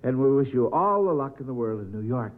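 A man's voice: mid-range voice, animated, fairly quick, phone-quality sound, very noisy. A man hosting a quiz show in a 1950s radio style, heard on an old recording.

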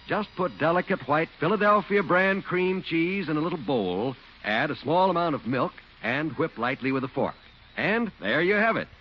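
A man's voice: a little high, a little hiss or hum. An American man reading as an old-time radio announcer, with a polished, emphatic sales delivery. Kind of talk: 1940s-50s radio commercial narration. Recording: dull old radio recording with music.

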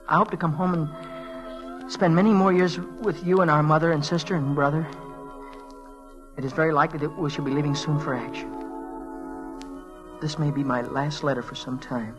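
A man acting an earnest, halting, high-voiced grieving youth. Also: lo-fi sound, close to an everyday voice. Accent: American. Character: earnest grieving teenage boy reading his soldier brother's letter. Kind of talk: 1940s radio acting. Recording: vintage 1940s radio broadcast, music behind.

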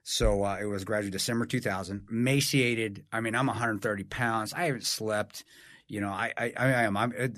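Male, 40s, nasal, animated storyteller, dry close sound. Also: on the low side, brisk, no echo. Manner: animated storyteller, swearing, self-mocking. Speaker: podcast co-host. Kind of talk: casual podcast chat.

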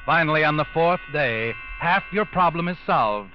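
Casting: man as insinuating vintage radio suspense narrator, fairly high male voice, dramatic music behind, dulled old recording. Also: expressive, moderate pace, highs cut off, natural voice. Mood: knowing, ominous. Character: knowing, ominous male second-person radio narrator.

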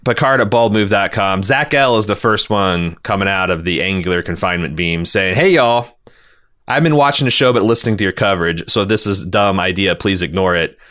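A man co-hosting a podcast, discussing television casually and bluntly with some swearing, in a halting, rambling way that circles back over words. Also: deep voice, a bit bright. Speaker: podcast co-host.

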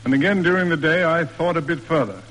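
An actor playing a stiff, proper middle-aged father in a classic radio drama, speaking in measured, roundabout formal sentences. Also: a little high, fairly bright tone. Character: stiff, proper, reserved father in period New England. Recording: old radio recording, reverberant, music behind.

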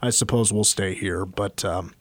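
A man hosting a sports talk radio show, chatty and rambling, his voice getting a little hoarse. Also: lowish voice, moderate pace, dry recording. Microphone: studio microphone.

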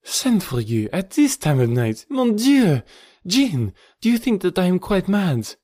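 A man's voice: high-pitched, sing-song, a little slow, a little mellow, dry recording, clean studio sound, pitched above the performer's own voice, exaggerated voice. A man voicing an alarmed, passionate young French countess in dramatic audiobook dialogue. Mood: startled, indignant.

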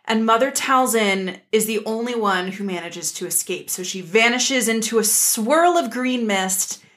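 A woman's voice: on the high side, animated, a little slow, a bit bright. A woman co-hosting a podcast, giving an enthusiastic, casual story recap in a playful, slangy way. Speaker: podcast co-host.

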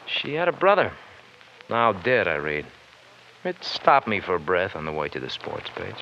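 A man's voice: low-pitched, sing-song, normal speed, vocal fry, heavily acted. An actor playing a bitter young wise guy in an old radio crime drama, speaking in sardonic, slangy, stylized patter. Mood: bitter, mocking. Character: bitter, sardonic young bowling-alley man, failed lawyer. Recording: muffled, noisy old radio recording.

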